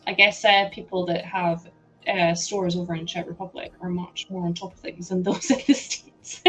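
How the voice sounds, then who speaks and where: Slow, giggly Scottish woman streamer. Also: on the high side, dull audio. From Scotland. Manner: chatty, giggles often, reads out and answers chat. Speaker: live streamer. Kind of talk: casual live-stream chat while sorting building parts.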